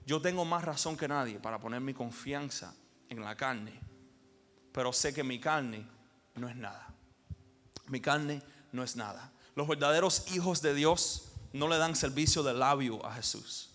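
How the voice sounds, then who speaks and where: A man from Cuba, preaching a sermon as pastor with emphatic swells and pauses. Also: mid-range voice, a bit bright.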